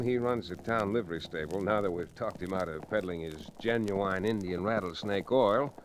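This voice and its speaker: An actor playing a level-headed frontier lawyer and rancher with folksy delivery in an old-time radio Western. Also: male voice, medium pitch, background noise, lightly acted. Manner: folksy, easygoing storytelling.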